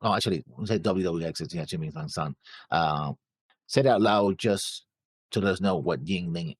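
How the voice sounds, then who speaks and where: A man co-hosting a casual reaction show, reading comments aloud haltingly and stumbling over names. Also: low voice, slightly rough, no room sound, studio quality.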